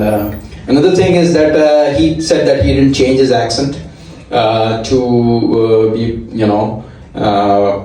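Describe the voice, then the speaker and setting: Halting man with an Indian accent. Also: medium pitch, dark tone. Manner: halting, with constant filler sounds and restarts. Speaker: stand-up comedian. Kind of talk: stand-up set at an open mic. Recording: echoey room, mic heard from a distance.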